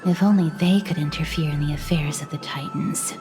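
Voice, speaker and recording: A woman's voice: deep voice, fairly even intonation, fairly quick, over music, lightly acted. An actress playing a polite, guarded, protective young woman in a video game, with careful formal speech. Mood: guarded, earnest.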